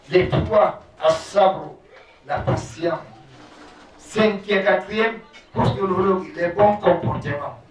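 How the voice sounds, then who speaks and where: A preacher with Arabic as his first language, reciting prayers in Arabic at speed and then explaining them slowly in halting French at a gathering. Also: high voice. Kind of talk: sermon. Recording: roomy, distant, dull sound.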